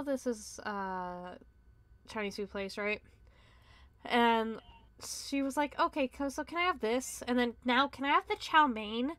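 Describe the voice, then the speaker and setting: A woman's voice: a little high, dry recording. A woman on a casual podcast telling stories about customer calls, mimicking the callers and laughing along. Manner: lively storyteller, mimics callers, laughs often. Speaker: podcast co-host. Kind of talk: casual chat, storytelling.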